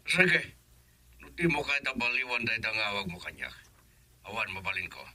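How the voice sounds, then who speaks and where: A man with an Ilocano accent playing a gruff, teasing older neighbor in a radio drama. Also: on the low side, very animated, brisk, pushed and bright, full character voice. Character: old man Ponso, inviting neighbor.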